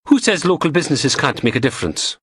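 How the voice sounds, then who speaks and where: A man's voice: fairly high pitch, sing-song, fast, a bit bright, breathy edge, no room sound, studio quality, pitched above the performer's own voice, heavily acted. A man voicing a cheeky, teasing young goth woman who works in forensics. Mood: wry.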